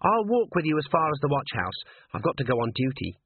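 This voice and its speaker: Shy female dwarf corporal for a storybook, performed by a man, raised lilting pitch, halting speech, phone-like sound. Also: very animated, husky, dry recording, heavily acted. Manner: hesitant, stammering. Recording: tinny band-limited radio-like sound.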